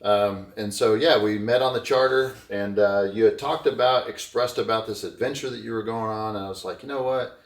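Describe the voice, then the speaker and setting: A man's voice: fairly low pitch, steady tone, fairly quick, recorded from a distance. A middle-aged man hosts a casual podcast interview, talking eagerly and rambling through his points with lots of fillers. He sits back from the mic, and the sound is roomy and echoey. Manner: enthusiastic, rambling, many fillers. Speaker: podcast host.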